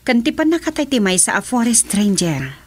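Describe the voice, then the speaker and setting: An actress playing a serious woman gravely reporting news in a radio serial drama. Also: on the high side, big pitch swings, normal speed.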